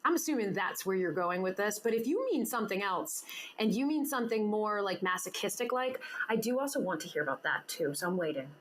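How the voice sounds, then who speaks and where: A woman's voice: mid-range voice, animated, brisk, a little hiss or hum. A woman hosting an interview show, bubbly and teasing, laughing often as she banters with her guest. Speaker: podcast host. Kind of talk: casual interview chat.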